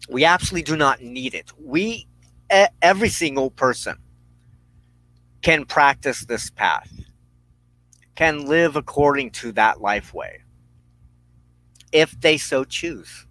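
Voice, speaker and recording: A man hosting a personal spiritual talk, speaking in deliberate, halting phrases with firm emphasis. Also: mid-range voice, fairly bright tone, no echo, low-quality recording. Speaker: host of a weekly witchcraft broadcast.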